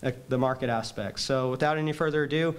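A young man introducing a guest speaker in an indoor chapel hall, halting with many pauses and restarts. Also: mid-range voice, clear, resonant tone. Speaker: student organizer introducing speaker. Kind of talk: introduction speech. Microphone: podium mic at a distance.

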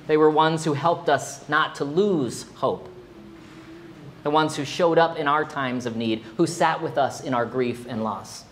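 A man in his forties, a pastor, preaching a sermon in a small church, expressive and at times emphatic. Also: measured pace, a little hiss or hum. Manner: expressive, rising to emphatic high exclamations. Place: small church sanctuary, indoors.

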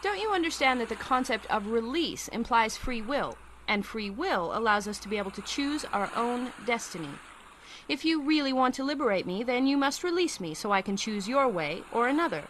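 A woman's voice: fairly high pitch, lively intonation, moderate pace, fairly bright tone, a little hiss or hum. A woman playing a proud, defiant space commander in an old-time radio sci-fi serial. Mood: arguing.

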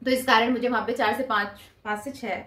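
A young woman chats casually through a shopping haul, holding each piece up to the camera as she talks. Her voice sits fairly high for a woman. The small indoor room gives it a noticeable echo. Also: steady tone, brisk, bright, forceful tone. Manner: chatty, showing items to camera with her hands. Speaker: vlogger.

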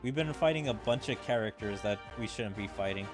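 A man's voice: on the low side, fairly quick. A man giving casual gameplay commentary as a gaming video creator. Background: faint game music.

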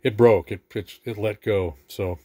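A man giving a casual, hands-on repair demonstration with halting, unhurried explanations. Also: on the low side, steady tone, mellow, slightly rough. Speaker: speaker repair technician. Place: small repair workshop, indoors.

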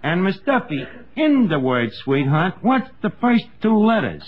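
Vain new barkeep in old radio sitcom, lively highish voice, muffled noisy recording. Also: male voice, measured pace. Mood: self-important. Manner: mangles words pompously. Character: scheming, vain, wisecracking male tavern manager. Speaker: lead actor in a radio sitcom. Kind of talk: 1950s radio comedy acting.

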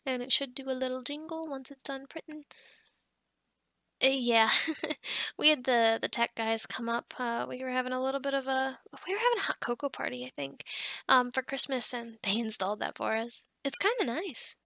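Woman playing a friendly scatterbrained female boss, high-pitched, chatty, encouraging, ASMR roleplay, dry close sound. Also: normal speed, gravelly, a bit bright, no echo. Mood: helpful, chatty. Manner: bubbly, chatty, with giggles and hesitations. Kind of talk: ASMR roleplay acting, conversational.

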